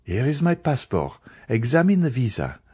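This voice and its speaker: Audiobook role: earnest young journalist , read by a man, grave and compassionate, formal, deliberate phrasing, loyal friend. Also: mid-range voice, wildly swooping pitch, mellow, husky, no room sound, clean studio sound. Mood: earnest, insistent. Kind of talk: dramatised audiobook reading.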